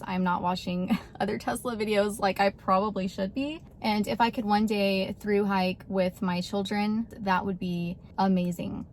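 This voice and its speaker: A woman in her thirties from Texas, chatting casually to camera and laughing often between animated bursts. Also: medium pitch, slight background noise. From Texas, USA. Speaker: vlogger.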